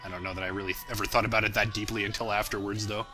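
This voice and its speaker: A man's voice: lowish voice, flat delivery, racing, bright, forceful tone, soft background music. A young man giving a casual, rambling and self-deprecating commentary on his own work. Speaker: creator of a fan parody series, commentator.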